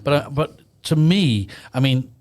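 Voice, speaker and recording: A man's voice: medium pitch, animated, a little slow, darkish tone. A man hosting a casual podcast chat, repeating and rephrasing his points emphatically as he goes. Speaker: podcast host.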